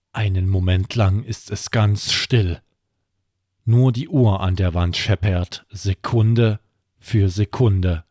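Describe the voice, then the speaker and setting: A man hosting and narrating a scripted children's bedtime story, measured and suspenseful, with deliberate pauses. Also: mid-range voice, a little mellow, no echo, clean studio sound. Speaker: podcast host and storyteller.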